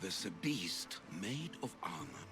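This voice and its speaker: A male actor playing a gracious blind king, eager for tales, with a courtly, expressive delivery in a video game. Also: lowish voice, slow pace, over music, exaggerated voice. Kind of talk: video game dialogue acting.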